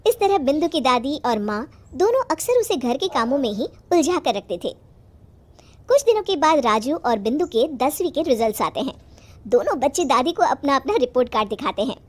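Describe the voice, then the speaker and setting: A woman's voice: sits high, big pitch swings, moderate pace. A woman reading scripted narration for a children's moral story.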